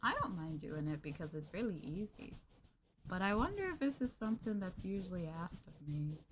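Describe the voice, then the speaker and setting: A young woman streamer talking gently and softly with her viewers during a cozy ASMR roleplay stream, often giggling and dropping to a hush. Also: lowish voice, big pitch swings, fairly quick, noisy background.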